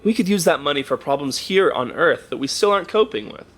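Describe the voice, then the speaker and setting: A man's voice: a little high, animated, quick pace, a bit bright. A man playing a skeptical, grumbling radio co-host in an audio drama, blunt and sardonic. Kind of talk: radio drama, conversational broadcast banter.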